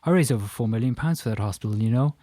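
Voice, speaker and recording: A man's voice: medium pitch, animated, racing, mellow, no room sound, clean studio sound, natural voice. A man voicing a boastful, chattering Irishman in his fifties with a menacing streak, in an audiobook. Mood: boastful, chatty. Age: 50s. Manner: chattering, effusive, emphatic. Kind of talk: audiobook character dialogue.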